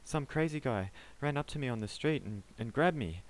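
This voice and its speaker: A man puts on a frightened, winded man in an audiobook, his voice pushed high and loud as he begs to be left alone. The pleading tumbles out in a rush, frantic and out of breath. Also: lively intonation, normal speed, close to an everyday voice, poor audio. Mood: panicked pleading. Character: panicked breathless young man fleeing.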